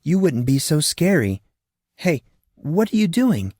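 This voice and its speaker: Guy doing a little girl voice, high and sweet, curious and chatty, storybook. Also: very animated, a little slow, dark tone, dry recording, clean studio sound. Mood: delighted. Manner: sweet, wondering, childlike.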